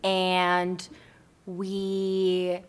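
A woman's voice: on the low side, completely flat delivery, drawn-out words, smooth. A young woman interview guest telling personal stories casually, in an informal, rambling way with lots of "like". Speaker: interview guest, founder of a volunteer project.

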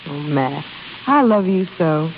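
A tender young sweetheart speaks with devoted, pleading affection in the manner of 1930s radio drama. Her voice comes through a dull recording with clear hiss and crackle. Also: deep voice, lively intonation, a little slow. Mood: adoring. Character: affectionate, devoted young woman, a captain's daughter.

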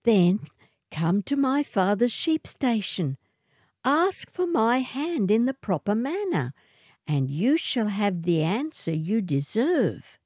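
A woman's voice: medium pitch, very animated, takes it slowly, a little mellow, husky, dry recording, studio quality, voice pushed up, full character voice. A woman voicing a haughty, scheming young outback heiress in a theatrical manner for a short story. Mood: coaxing, insincere.

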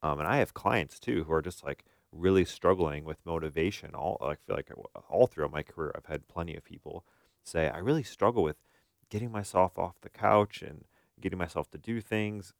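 A man's voice: low-pitched, moderate pace, gravelly, no room sound, clean studio sound. A man co-hosting a talk show as a therapist, discussing informally and thinking aloud as he goes. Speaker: podcast co-host, therapist. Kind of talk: casual discussion.

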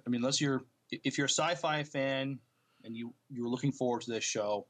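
Male podcast co-host, medium pitch, plain-spoken and a little halting, reads and discusses entertainment news. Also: fairly bright tone.

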